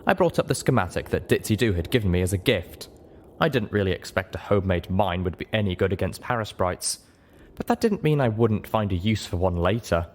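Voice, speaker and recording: A man's voice: lowish voice, animated, a little fast. A man reading a first-person story aloud as a dramatic audiobook narration. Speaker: audiobook reader.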